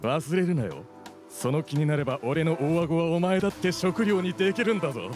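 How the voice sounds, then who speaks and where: A man's voice: fairly high pitch, a little fast, close to an everyday voice. A man playing a stern, protective soldier ant with a commanding manner in a radio drama. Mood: angry, threatening. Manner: commanding, threatening. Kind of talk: live radio drama acting. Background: music.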